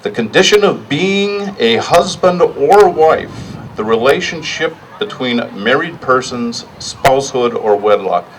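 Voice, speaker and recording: A middle-aged man giving a partly improvised rally speech in a deliberate, plainspoken way, pausing for emphasis. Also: mid-range voice, animated, distant mic, a little hiss or hum, poor audio. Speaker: rally speaker.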